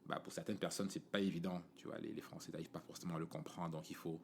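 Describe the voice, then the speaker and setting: A man with a Cameroonian French accent talks through a podcast monologue in a loose, conversational way, dropping "tu vois" every few phrases. His voice sits very low. A faint hiss of background noise runs under the recording. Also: steady tone, fast, gravelly. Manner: conversational, many fillers and "tu vois" asides. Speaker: podcast host. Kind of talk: casual monologue.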